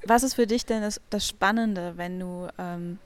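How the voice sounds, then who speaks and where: Woman interviewer, calm questions. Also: medium pitch, a little slow, smooth. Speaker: interviewer, reporter.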